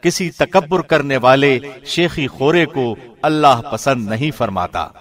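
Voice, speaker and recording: A man giving a formal studio reading of a scripture translation, measured and solemn. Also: medium pitch. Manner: measured, solemn, even delivery. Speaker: translation reader. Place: recording studio.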